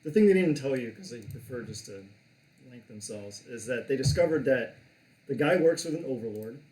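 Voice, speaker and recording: A man's voice: medium pitch, lively intonation, moderate pace, darkish tone. Man narrating a tabletop game, echoey room. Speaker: game master.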